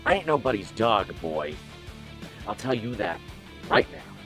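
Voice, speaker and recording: A man's voice: on the low side, very animated, measured pace, music in the background. A man playing a smug, menacing gang boss in an audio drama, taunting and condescending as he draws out his words. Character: smug, menacing male boss of a hit squad.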